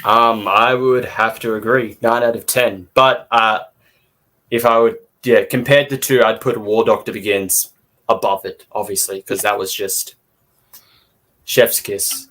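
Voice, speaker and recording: A man's voice: fairly low pitch, fairly even intonation, measured pace, sharp tone. A man co-hosting a podcast, giving his opinions in a casual review discussion.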